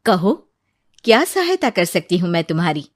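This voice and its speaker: A woman voicing a composed, gracious queen with a regal, measured manner in a storybook tale. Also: fairly low pitch, sing-song, no echo, studio quality. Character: composed, gracious queen testing young petitioners.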